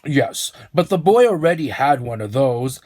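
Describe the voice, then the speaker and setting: A man voicing an excitable old bald storyteller for a children's storybook, coaxing and theatrical, with drawn-out exclamations. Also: medium pitch, natural voice. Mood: animated storytelling.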